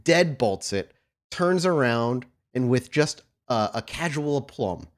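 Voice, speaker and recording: Male game master, medium pitch, very varied intonation, casual narration and refereeing of tabletop roleplay. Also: lively intonation, slow pace.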